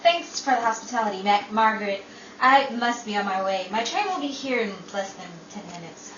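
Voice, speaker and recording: A woman acts a polite young lady visitor in a 1930s radio comedy, with a fairly high, swooping voice. The recording is dull, echoey and far from the mic. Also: expressive, fairly quick, fairly bright tone, a little hiss or hum. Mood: polite, hesitant. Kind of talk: old-time radio play acting before an audience.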